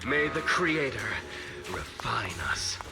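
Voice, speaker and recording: An actor voicing a zealous cult villain in a video game, with a grandiose, theatrical, sermonizing manner. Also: male voice, mid-range voice, sing-song, takes it slowly, vocal fry, heavily acted. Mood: fervent preaching. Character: zealous male cult villain preaching divine purification. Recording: loud music behind the voice. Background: game music.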